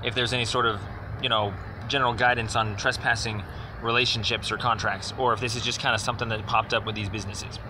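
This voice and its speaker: A man speaking as a caller making an informal inquiry, explaining at length in a halting, rambling, wordy way. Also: fairly low pitch, flat delivery. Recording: noisy phone call with outdoor traffic.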